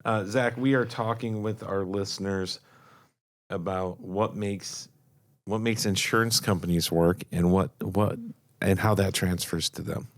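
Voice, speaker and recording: A man with a raspy voice co-hosting a podcast in casual chat, playful and joking, laughing often. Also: deep voice, steady tone, unhurried, no room sound, studio quality. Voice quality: raspy from shouting. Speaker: podcast co-host.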